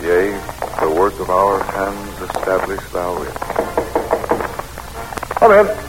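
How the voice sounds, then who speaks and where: An actor playing a weary, kindly middle-aged American president in measured, solemn, folksy style in an old-time radio drama. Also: male voice, low voice. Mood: solemn, reverent, then folksy, gracious. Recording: noisy old radio recording.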